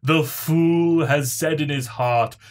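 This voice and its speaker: Man putting on a pompous, slow-talking snobby preachy commenter. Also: a little high. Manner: pompous, haughty, drawn-out delivery. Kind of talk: mocking dramatic reading.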